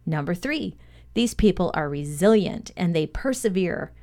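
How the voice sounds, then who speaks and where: Woman w a slightly low voice reading out a self-improvement lesson, measured and deliberate. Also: animated, a little mellow. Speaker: co-presenter reading a leadership lesson. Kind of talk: scripted read-aloud, motivational podcast.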